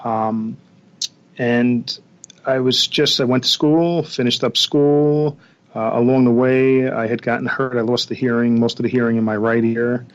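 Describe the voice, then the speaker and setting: A middle-aged man, answering casually as an interview guest in a plainspoken, halting way. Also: mid-range voice, fairly even intonation, clean tone. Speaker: interview guest, accountant and former police officer. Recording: dull, lo-fi remote connection.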